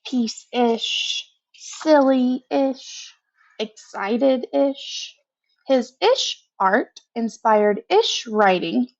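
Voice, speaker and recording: A woman reading a picture book aloud to children in an expressive, conversational way, pausing for casual asides of her own. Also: on the high side, muffled recording. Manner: expressive, conversational, pauses to comment.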